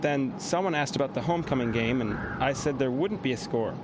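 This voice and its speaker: A man's voice: fairly quick, close to an everyday voice. Man as thoughtful, matter-of-fact teenage boy. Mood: earnest, explaining. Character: thoughtful teenage boy, newly priest to an oracle. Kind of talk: audio drama acting. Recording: clear outdoor ambience and vehicle rumble.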